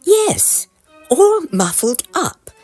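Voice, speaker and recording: An actress playing a jealous, sardonic second soprano in a period farce, with dry, theatrical grumbling. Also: female voice, very high-pitched, big pitch swings, very slow, a little mellow, faint music behind, exaggerated voice. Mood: sour. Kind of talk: period audio drama farce.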